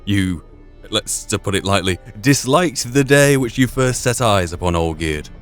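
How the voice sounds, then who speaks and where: A man's voice: on the low side, lively intonation, a little slow, soft background music. A man giving in-character commentary on a video game, musing aloud and rephrasing his own thoughts. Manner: musing, repeats and rephrases himself. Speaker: gaming video host.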